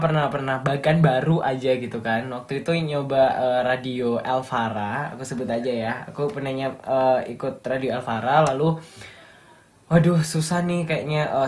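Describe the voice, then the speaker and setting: Young male radio announcer from Malang, light Javanese accent, upbeat and motivational. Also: medium pitch. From Malang, East Java. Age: young adult. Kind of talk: casual interview chat.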